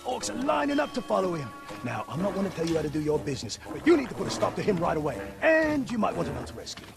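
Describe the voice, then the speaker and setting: Creaky, swooping cowardly orc sidekick. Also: male voice, high voice, huge pitch swings, quick pace, full character voice. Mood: anxious chatter. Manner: rambling, nervously comic, wheedling. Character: cowardly chattering orc sidekick, sly and self-preserving. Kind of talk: video game cutscene acting. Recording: cinematic music behind.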